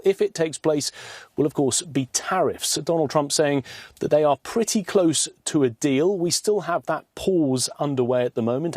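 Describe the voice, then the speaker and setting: A man reporting live as a news correspondent, explaining in articulate, measured phrases. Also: medium pitch, a little mellow, dry recording, studio quality.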